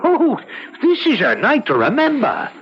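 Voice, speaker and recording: An actor playing a chatty, nosy village pub regular, folksy and dramatic, in a radio drama. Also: male voice, very high-pitched, wildly swooping pitch, measured pace, a little hiss or hum, full character voice. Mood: excited, gossipy. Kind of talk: vintage radio drama acting. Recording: dull vintage radio recording, background crowd.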